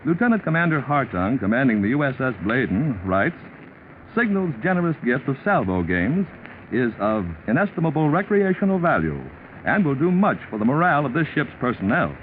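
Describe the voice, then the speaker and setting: Male vintage radio announcer, polished sales delivery, lively swooping pitch, tinny old recording. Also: a little fast. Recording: tinny 1940s radio recording, faint noise.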